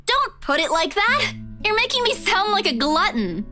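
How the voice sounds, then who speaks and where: Young female game heroine, high voice, swooping intonation, earnest, self-conscious, spirited. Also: very animated, normal speed, sharp tone, exaggerated voice. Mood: playfully indignant. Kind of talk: visual novel voice acting. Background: music.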